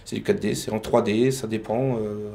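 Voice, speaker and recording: A man's voice: on the low side, fairly even intonation, normal speed, vocal fry, warm tone, slight background noise. A man from France, a painter and decorator, answering interview questions hesitantly with many fillers.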